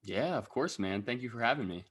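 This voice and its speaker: A young man being interviewed on a podcast who explains at length in a relaxed way and keeps tagging his sentences with quick checks for agreement. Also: medium pitch, husky. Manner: relaxed explaining, frequent tag questions. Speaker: podcast guest. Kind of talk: casual interview.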